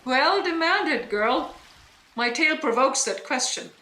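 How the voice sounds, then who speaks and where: A woman's voice: high voice, expressive, unhurried, bright, forceful tone, full character voice. A woman playing a bitter, scholarly deposed duchess and sorceress mother in Shakespearean audio drama, speaking in a declamatory, emphatic way that demands attention. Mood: grave, intent. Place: indoor room, recorded over internet link. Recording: roomy remote recording, noise.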